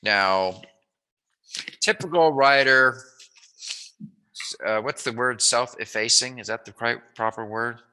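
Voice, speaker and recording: A man's voice: medium pitch, slow pace, pauses often, sharp tone, smooth, low-quality recording. A man hosting a casual chat interview, talking in a playful, jokey, musing way. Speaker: interviewer, host.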